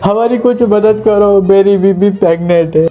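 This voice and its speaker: A man's voice: high-pitched, normal speed, dark tone, faint music behind, lightly acted. A man voicing an earnest, imploring husband, a wealthy traveler in distress, in a moral story. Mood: desperate pleading. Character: wealthy grateful husband in distress, pleading for help. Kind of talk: Hindi moral story voice acting.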